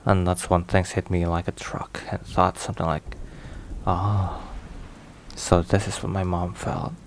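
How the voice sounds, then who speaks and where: Man acting a low, unhurried, weary mafia boss. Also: flat delivery, a little hiss or hum. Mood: wry, reflective. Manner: hushed, unhurried, sighing between phrases. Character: weary, caring male mafia boss, protective boyfriend. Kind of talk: ASMR boyfriend roleplay.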